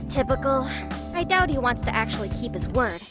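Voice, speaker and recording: Game role: confident teenage club girl, high animated voice, formal, teasing, scheming; music behind. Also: fairly quick. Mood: breezy, wry. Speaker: student club president character. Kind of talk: English game voice acting. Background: background music.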